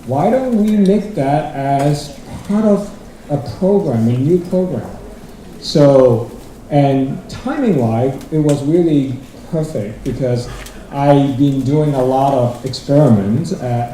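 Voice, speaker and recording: A man's voice: medium pitch, slow, warm tone, slight background noise. A middle-aged man from Hong Kong giving a rambling, self-deprecating conference talk, restarting his sentences often. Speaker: guest speaker. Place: large lecture hall. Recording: echoey hall from far off.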